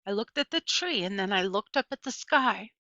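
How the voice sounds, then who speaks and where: A woman narrates a storybook in a bright, forward voice. The recording is dry and close, with a slightly muffled, lo-fi sound. She reads as a first-person storyteller. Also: medium pitch, lively intonation, normal speed, dry recording. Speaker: audiobook reader.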